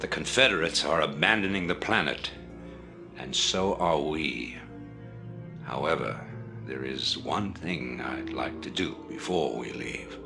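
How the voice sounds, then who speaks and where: An actor playing a stern rebel commander who gives formal, measured orders in a video game cutscene. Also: male voice, rumbling low, gravelly, over music, full character voice. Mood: commanding. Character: stern rebel leader, middle-aged man, commanding.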